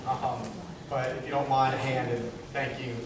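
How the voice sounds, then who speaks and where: A man's voice: mid-range voice, level tone, normal speed. A man introducing a speaker at an event, announcing in a loose, joking way. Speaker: event host. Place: large echoey room, in front of an audience. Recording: very echoey room, distant, audible noise.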